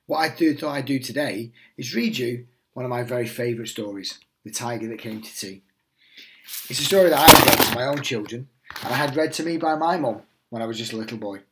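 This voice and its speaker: A middle-aged man, a headteacher, reading a picture book aloud to young children in an expressive, reassuring way. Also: mid-range voice, a little fast, a little hiss or hum, poor audio.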